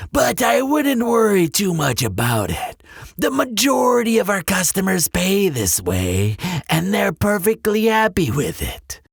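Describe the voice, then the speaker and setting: A man's voice: high voice, gravelly, slightly rough, dry recording, clean studio sound, exaggerated voice. A man playing a courteous, slyly persuasive demon hotel receptionist in a scripted roleplay addressed to the listener, polite and coaxing. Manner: unhurried, polite, coaxing salesmanship. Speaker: resort front-desk clerk.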